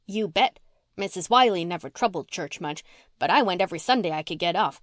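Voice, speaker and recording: A woman's voice: lowish voice, animated, a little fast, no echo, lightly acted. A woman putting on a blunt, slangy orphan girl. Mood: brash. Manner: rough country dialect, blunt.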